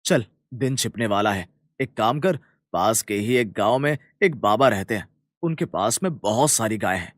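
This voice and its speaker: A man voicing a kind, earnest young village farmer in a cartoon story, with a colloquial, animated manner. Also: fairly low pitch, moderate pace, no echo, studio quality. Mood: friendly, concerned. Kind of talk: Hindi cartoon story dialogue.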